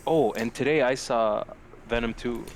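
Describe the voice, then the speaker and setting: A man co-hosting a casual podcast, talking in an animated, slangy way and swearing freely. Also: mid-range voice, moderate pace, slight background noise. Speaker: podcast co-host. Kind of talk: casual movie-review banter.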